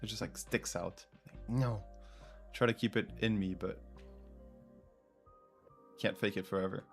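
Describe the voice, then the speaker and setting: A man's voice: lowish voice, steady tone, measured pace, faint music behind. A man with a French accent, French his first language, hosting a casual live quiz and thinking aloud while chatting with viewers. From France. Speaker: livestream host.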